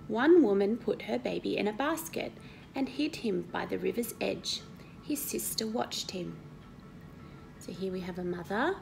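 Lady reading a sunday school story to kids, explains as she goes, bit of creak, music behind her. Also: mid-range voice, low-quality recording. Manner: expressive, teacherly, asks the children questions. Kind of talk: children's storytelling read aloud with explanations. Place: indoor living room at home.

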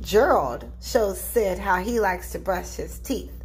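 A woman reading a children's storybook aloud in an expressive, deliberate narrating style. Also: mid-range voice, slightly rough, rough recording.

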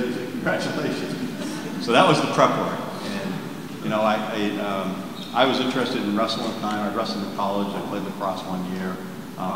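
A man in his sixties giving a keynote in a candid, plainspoken way, with frequent restarts, answering questions before a seated audience. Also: fairly low pitch. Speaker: keynote speaker. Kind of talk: conversational keynote Q and A. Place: large hall with a seated audience. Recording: echoey hall with background noise.

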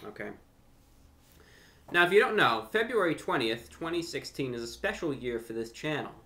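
A young man talking unscripted to camera as a host, with deliberate, slightly formal phrasing, pausing and repeating words. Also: fairly high pitch, lively intonation, pushed and bright. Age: young adult. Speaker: YouTube host and amateur radio broadcaster. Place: furnished room at home, indoors.

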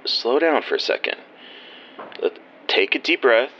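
An actor plays a concerned, reassuring doctor in an audio drama. His voice is bright and very lively in pitch. Some faint noise sits on the recording. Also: measured pace, dull audio. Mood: soothing, concerned. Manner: reassuring, repeats himself. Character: calm, reassuring small-town doctor. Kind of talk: naturalistic audio drama acting.

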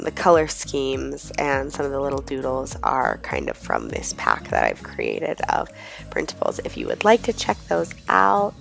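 Hoarse woman chatting over soft music. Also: sits low. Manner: chatty, rambling with many ums. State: hoarse from a cold. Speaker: planner vlogger. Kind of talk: casual voice-over chat.

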